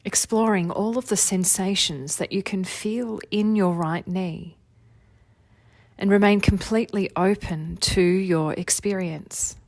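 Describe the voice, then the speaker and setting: A woman leading a guided meditation as a teacher, giving gentle, soothing body-scan instructions in an unhurried way. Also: medium pitch, no echo. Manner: soothing, unhurried, gentle instruction with long phrases trailing softly.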